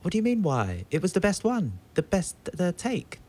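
A man's voice: high voice, huge pitch swings, normal speed, a little mellow, heavily acted. An actor playing a weary young man, placating and dryly sarcastic, in a naturalistic audio drama. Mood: reassuring, exasperated. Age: young adult. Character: weary, reassuring young flatmate with dry sarcasm.

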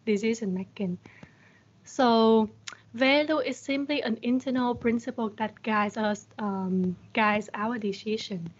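A woman's voice: on the high side, unhurried. A young adult woman giving a conversational motivational talk as a guest speaker, often posing rhetorical questions, on a video call. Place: indoors on a group video call. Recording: dull video-call audio.

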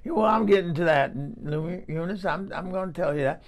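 A man voicing a gruff old man with sore dentures, speaking. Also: high-pitched, brisk, a crowd in the background. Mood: grumbly.

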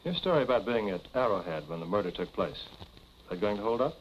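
An actor playing a suave, quietly menacing man in his thirties, speaking smoothly and formally in 1940s film style. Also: on the low side, very animated, fairly quick, a little hiss or hum. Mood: insinuating. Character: suave, cool male family friend, quietly insinuating and menacing. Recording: dull 1940s film soundtrack with music.

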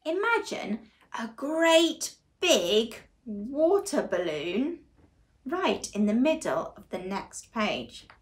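A young woman with a high, bright voice reads a story aloud for little children. Her delivery is very slow and deliberate, with exaggerated expression that invites listeners to join in. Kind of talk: animated read-along storytelling for young children. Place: small living room, on a sofa indoors.

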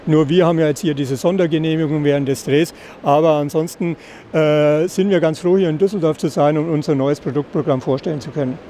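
A middle-aged man explaining product features in an interview, slightly halting and repeating words. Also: a little high, dark tone. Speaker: caravan company representative. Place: caravan at an indoor trade fair. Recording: clear background noise of busy trade fair.

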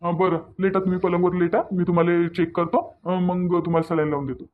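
A man's voice: high-pitched, level tone, quick pace, phone-quality sound, a little hiss or hum, lightly acted. A man voicing a reassuring village doctor in a cartoon, folksy and repetitive, with a Varhadi Marathi accent. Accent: Varhadi, Vidarbha Marathi. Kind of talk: cartoon dialogue.

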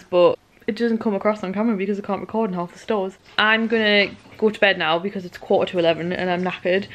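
A young woman in her twenties chatting casually and rambling to a camera held at arm's length, in a car and at home. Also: mid-range voice. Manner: chatty, rambling, easily tired. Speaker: vlogger. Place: car, then bedroom at night, then kitchen.

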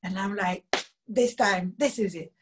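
A woman in her thirties from Luxembourg with a husky voice, telling stories animatedly with frequent laughs over a video call from home. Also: medium pitch, a little slow, background noise. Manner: animated storytelling, laughs often. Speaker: musician guest. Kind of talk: casual video call interview. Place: home room, indoors.

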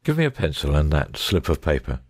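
A man playing a shrewd, composed gentleman detective in a dramatic audiobook reading, precise and measured, with quiet authority. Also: rumbling low, sing-song, no echo, clean studio sound, exaggerated voice. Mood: brisk, assured.

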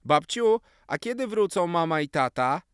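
Man putting on an excitable high-voiced little boy. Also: normal speed, clean tone, dry recording, studio quality. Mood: curious. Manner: eager, exclaiming. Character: excitable seven-year-old boy playing at superheroes.

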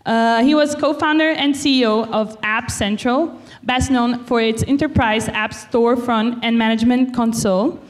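A woman's voice: a little high, steady tone, moderate pace, hardly any pauses. A young woman, carefully reading a speaker introduction into a handheld mic at a lecture-hall podium. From Brazil. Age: 20s. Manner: careful, reads from notes with fillers. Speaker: student event presenter.

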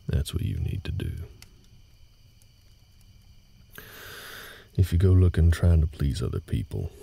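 A man plays a rock-bottom deep cowboy with a heavily gravelled voice. He is a weary, gentle ranch hand in an intimate audio drama, talking haltingly and folksily over night ambience. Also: full character voice. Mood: earnest, advising. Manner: halting, low-key, folksy drawl-like phrasing. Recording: night ambience noise behind the voice.

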